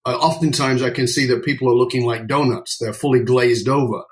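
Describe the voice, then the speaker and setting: A man's voice: mid-range voice, fairly even intonation, a little fast. A middle-aged man with a British accent, speaking conversationally as an interview guest in an emphatic, outspoken manner. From United Kingdom. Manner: emphatic, outspoken, swears casually. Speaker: podcast guest, speaking coach.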